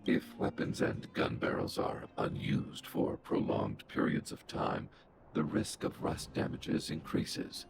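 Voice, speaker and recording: Svarog, a gravelly robot boss. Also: male voice, takes it slowly, exaggerated voice. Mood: flat computing. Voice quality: deep, gravelly, processed. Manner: flat, clipped, computer-like reports. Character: huge, calm, all-powerful underworld robot boss. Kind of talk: studio video game voice acting. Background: game music.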